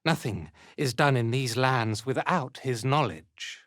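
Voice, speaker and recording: A man playing a haughty, zealous dark elf cult commander in a video game, with an imperious, contemptuous and theatrical delivery. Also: medium pitch, animated, measured pace, no echo, clean studio sound. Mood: haughty, devout. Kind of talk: scripted video game character acting.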